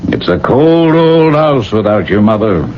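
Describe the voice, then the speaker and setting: A man's voice: lowish voice, big pitch swings, measured pace. An actor playing a proud middle-aged rancher father in a radio drama, hearty and blustering. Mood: blustering, wistful. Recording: muffled, hissy old radio recording.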